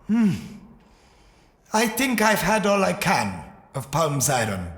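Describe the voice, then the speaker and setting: A man's voice: high-pitched, wildly swooping pitch, slow, fairly bright tone, heavily acted. A man playing a humble sidekick in an audio drama adventure, with a hesitant, earnest, unassuming way of speaking. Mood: earnest, wistful. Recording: echoey hall reverb.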